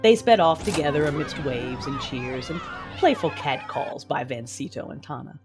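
A woman playing a proud, imperious mother in a sci-fi audio drama, narrating formally and deliberately. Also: deep voice, music in the background. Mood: proud, reflective.

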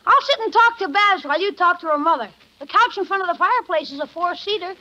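An actor playing a cheeky, wisecracking young nephew in a 1950s radio sitcom, brash and teasing. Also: male voice, very high voice, lively intonation, fast talker, bright, forceful tone, heavily acted. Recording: muffled vintage 1950s radio recording.

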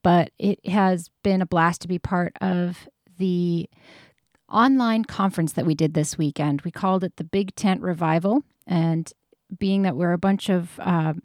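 A woman's voice: lowish voice, steady tone, a little slow, darkish tone, no room sound, studio quality. A woman in her thirties hosting a podcast, talking casually and laughing often as she introduces and interviews guests. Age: 30s. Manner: chatty, upbeat, laughs often. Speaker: podcast host.

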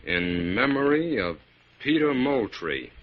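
An actor playing a gruff, bossy bank robber ringleader in a slangy 1940s radio crime drama. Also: male voice, mid-range voice, sing-song, slow. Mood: irritated, commanding. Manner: gruff, commanding, slangy tough-guy talk. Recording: scratchy, dull 1940s radio recording.

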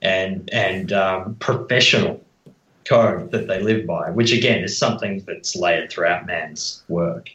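A man's voice: low voice, unhurried, a bit bright. A man chatting casually about films as a podcast guest. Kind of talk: casual film chat. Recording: slightly dull, roomy sound.

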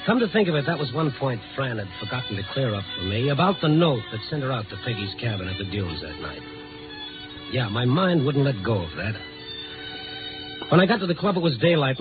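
A tinny vintage radio recording with music behind it. An actor plays a hard-boiled American newspaperman, a man who is wry, slangy and protective. His pitch moves in lively swings as he talks in 1950s noir style. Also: close to an everyday voice. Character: tough Chicago newspaper reporter, wry and protective. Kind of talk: 1950s radio drama acting with noir first-person narration.

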